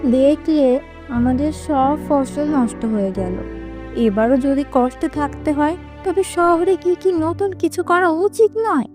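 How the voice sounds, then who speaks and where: A woman's voice: high voice, takes it slowly, over music. A woman playing an earnest, practical village wife in a moral-story cartoon, encouraging and hopeful. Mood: worried.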